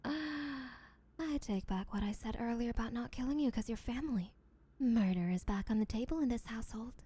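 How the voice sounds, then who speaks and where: A woman's voice: on the high side, normal speed, dark tone, husky. A woman playing an obsessive, boastful yandere sibling in a comedic audio roleplay, rambling in a smug, teasing, sweetly menacing way. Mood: threatening.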